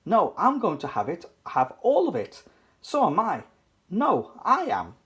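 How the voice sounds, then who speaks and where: A storyteller voicing excited, bossy young squirrel children in a picture book read-aloud. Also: mid-range voice, unhurried, pitched above the performer's own voice. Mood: greedy, excited.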